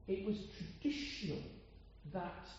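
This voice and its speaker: An older man from with a loud voice, preaching an informal sermon in a chatty, meandering way with frequent pauses. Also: high-pitched, lively intonation, a little hiss or hum. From Coventry, England. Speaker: lay preacher. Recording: echoey hall sound, dull lo-fi.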